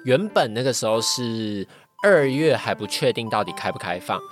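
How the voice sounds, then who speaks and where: A podcast guest with a Taiwanese Mandarin accent shares personal experience in a casual way. The voice sits mid-range and often pauses to rephrase. Music plays clearly underneath. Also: medium pitch, lively intonation. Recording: voice over background music. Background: background music.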